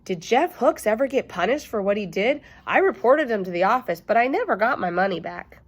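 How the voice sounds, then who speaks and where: Woman doing an insistent high-pitched little schoolboy. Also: animated, a little fast, slightly rough. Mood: aggrieved. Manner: insistent, complaining. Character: persistent, aggrieved little schoolboy.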